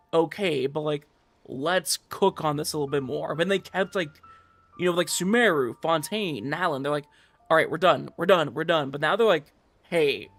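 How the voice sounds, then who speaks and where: Guy streamer w a slightly high voice, rambly gamer slang, music going behind him. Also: a bit bright. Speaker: livestream host. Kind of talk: casual chat while streaming a game.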